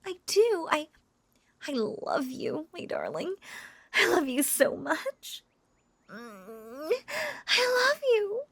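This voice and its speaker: A woman playing an obsessive, lovesick young witch who coos over a captive beloved, clingy and breathy with frequent whispers, in an intimate roleplay audio drama. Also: sits high, wildly swooping pitch, slow, long pauses, crowd noise, full character voice. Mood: desperate adoration. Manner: cooing, clingy, breathy, often dropping into whispers.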